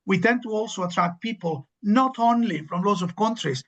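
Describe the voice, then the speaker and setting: A man's voice: high-pitched, moderate pace, a bit bright. A man speaking as an academic director in a webinar information session, fluent and emphatic, often repeating words as he builds a point. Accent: non-local, not from the host city.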